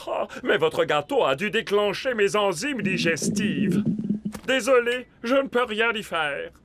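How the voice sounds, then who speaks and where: A man's voice: high voice, animated, a little slow, very noisy. A man voicing a nonchalant, matter-of-fact, unhelpful creature in a French children's cartoon dub. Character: nonchalant, unhelpful male cartoon creature who swallows others. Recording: loud cartoon music behind the voice.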